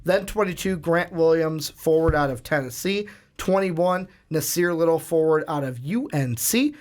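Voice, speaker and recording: Higher-pitched man hosting sports talk. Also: expressive, normal speed. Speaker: sports show host. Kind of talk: casual sports discussion.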